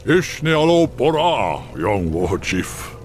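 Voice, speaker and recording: An actor playing a huge, wise old bull-man chieftain in a video game, deep, gravelly, measured and solemn. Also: very animated, darkish tone, faint music behind.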